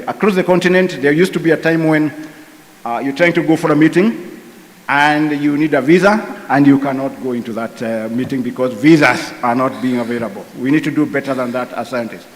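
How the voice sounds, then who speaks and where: A man speaking as a panel speaker at a conference, measured and halting with frequent pauses. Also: mid-range voice, long reverb tail. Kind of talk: conference speech. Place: conference hall.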